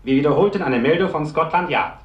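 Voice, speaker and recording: A man's voice: fairly high pitch, expressive, lo-fi sound, close to an everyday voice. A man playing a formal news announcer who gives urgent official warnings, in a 1950s radio play. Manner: emphatic, measured official announcing. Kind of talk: 1950s radio bulletin acting. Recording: echoey vintage radio recording.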